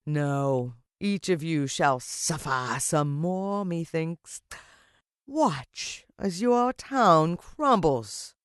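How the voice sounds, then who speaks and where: A woman voicing a gloating, vengeful older male villain from a children's storybook, declaiming slowly and theatrically with menace. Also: low-pitched, animated, clean tone, no room sound, clean studio sound, full character voice. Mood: menacing.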